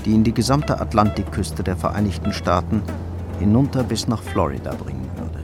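A man's voice: lowish voice, expressive, a little fast, over music. A man reading scripted narration as the storyteller of a radio crime drama.

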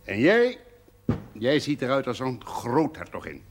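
An older husband with slow, halting speech that swings widely in pitch. His manner is formal, in an old television drama with faint noise behind it. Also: huge pitch swings. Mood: fondly pompous. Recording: noisy 1970s television soundtrack, clicks.

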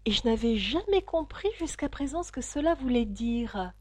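A woman voicing a mild, sensible, curious young girl with a gentle, matter-of-fact manner. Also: fairly high pitch, animated, normal speed, dry recording, voice pushed up. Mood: pensive.

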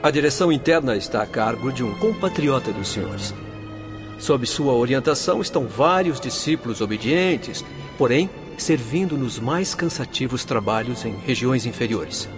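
A man plays a helpful doctor guide in a radio drama. His mid-pitched voice explains things formally, with music clearly underneath. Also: expressive, normal speed, natural voice. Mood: informative. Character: helpful doctor guiding newcomers in spirit world.

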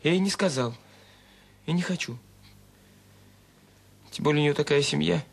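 A man's voice: fairly high pitch, normal speed, creaky, breathy edge. An actor playing a young student son in an audio drama, guarded and self-conscious, speaking colloquially and coaxingly to his mother. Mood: defensive, pleading. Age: young adult. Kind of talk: naturalistic radio drama dialogue.

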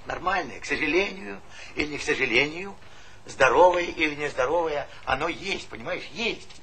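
A man's voice: fairly high pitch, expressive, normal speed, sharp tone. An actor playing a talkative, stubborn old village grandfather in a stage comedy, folksy and argumentative, repeating himself. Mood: cocky. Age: older. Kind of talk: theatrical stage comedy acting. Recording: noisy 1970s theatre play recording.

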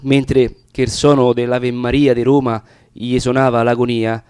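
A man's voice: mid-range voice, flat delivery, a little slow, darkish tone, clear, resonant tone, no room sound, clean studio sound. A man with a Roman accent reciting narrative dialect verse in a solemn, theatrical manner. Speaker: reader of dialect poetry.

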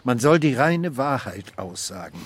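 An actor playing an insistent, condescending police inspector who probes in swings from quiet to loud in a radio drama. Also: male voice, mid-range voice, big pitch swings, a little slow, slightly rough. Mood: probing, condescending.

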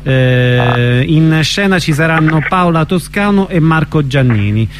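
A man hosting a radio show, chatting and interviewing in an informal, halting way. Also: on the high side, expressive, noisy background. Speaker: radio host. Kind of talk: casual radio chat and interview.

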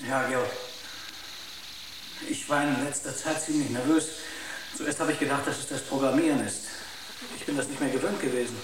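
Man playing a nervous, halting spaceship crewman, distant. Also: medium pitch, lightly acted. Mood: anxious, hesitant. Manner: hesitant, halting, searching for words. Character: nervous, frightened male spaceship crewman confiding fears. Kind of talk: German radio drama acting. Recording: roomy, distant, noisy radio drama sound.

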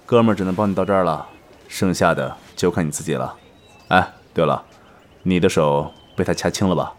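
An actor voices a loyal male pal in an audio drama. His voice is low, and he talks bluntly and casually with familiar slang. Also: brisk, close to an everyday voice. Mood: practical, helpful.

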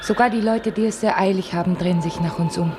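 A woman's voice: mid-range voice, fast, noisy background, natural voice. An actress in a radio drama playing an enigmatic, dreamy young Frenchwoman, speaking in an incantatory, intense way. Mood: awed. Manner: incantatory, repetitive, at times whispering. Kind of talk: literary radio drama acting.

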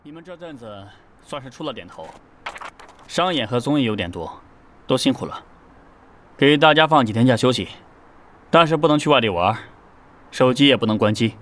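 A man's voice: mid-range voice, lively intonation, normal speed, long pauses, fairly bright tone, a little hiss or hum, natural voice. An actor playing a businesslike boy-band manager in an audio drama, instructing in a matter-of-fact way.

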